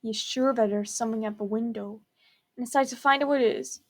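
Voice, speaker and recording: A woman's voice: a little high, steady tone, fairly quick. A woman reading scripted story narration aloud. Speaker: student reader.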